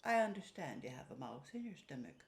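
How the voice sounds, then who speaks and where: A woman's voice: deep voice, big pitch swings, brisk, vocal fry, voice pushed down, exaggerated voice. A woman storyteller voicing a practical, bossy Irish village woman in a put-on accent, instructing matter-of-factly. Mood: brisk, advising. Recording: roomy, echoing sound.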